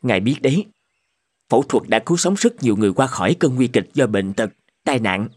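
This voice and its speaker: Earnest, lively cartoon medical man. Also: mid-range voice, a little fast, husky. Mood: earnest, concerned. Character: earnest, troubled male doctors and professors, weary and thoughtful. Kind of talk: animated cartoon drama dubbing.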